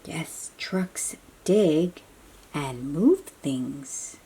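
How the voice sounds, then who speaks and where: A woman's voice: deep voice, animated, very slow, dark tone, breathy edge, rough recording. A woman reading a picture book aloud for young children, explaining in simple phrases and putting questions to the listener.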